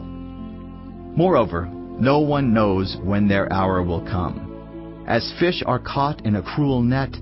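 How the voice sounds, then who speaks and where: A man playing a weary, reflective teacher of wisdom in a dramatised audio Bible, husky-voiced, with a measured, earnest, declamatory delivery. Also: fairly low pitch, muffled recording. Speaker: scripture narrator. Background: music.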